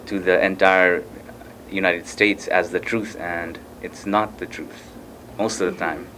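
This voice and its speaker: A man's voice: low-pitched, fairly even intonation, a little slow, sharp tone, slight background noise, rough recording. A young man from Nepal with a Nepali accent, speaking informally in a panel discussion. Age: young adult. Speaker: student on a panel. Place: conference room, indoors.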